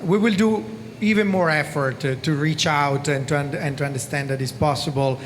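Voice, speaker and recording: Italian man, 30s, panelist; earnest, a bit high, long hall reverb, noisy room. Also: normal speed. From Italy. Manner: earnest, repeats himself, frequent "okay?". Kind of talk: conference panel discussion. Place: large conference hall stage. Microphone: handheld microphone. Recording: reverberant hall with background noise.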